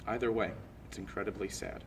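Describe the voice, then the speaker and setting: A young man on stage playing a bitter, reproachful college student who confronts a former friend in an accusatory monologue with deliberate pauses. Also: on the low side, steady tone, creaky. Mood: angry, scornful. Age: young adult. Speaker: stage actor. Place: indoor performance space, picked up from far off. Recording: roomy, distant live stage recording, faint noise.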